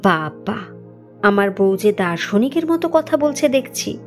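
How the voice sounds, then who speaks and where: A woman's voice: mid-range voice, big pitch swings, unhurried. The teasing husband of a domestic audio story, as a woman narrator reads him, affable and familiar over faint music. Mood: teasing, surprised. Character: affable husband, teasing, irritable with his nagging mother.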